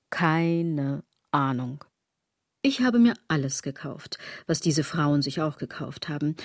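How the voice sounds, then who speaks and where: Husky, sardonic, brooding woman. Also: lowish voice, darkish tone, dry recording, clean studio sound. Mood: wistful, self-mocking. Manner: ironic, theatrical, shifting into breathy whispers. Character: sardonic, brooding woman philosophising bitterly about fashion. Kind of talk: radio drama monologue.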